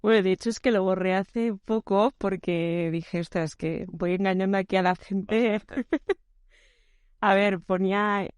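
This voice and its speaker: A woman's voice: medium pitch, fairly even intonation, unhurried, smooth, no echo. A woman talking as a guest on a casual podcast, easygoing and searching for her words as she goes. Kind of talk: casual conversational interview.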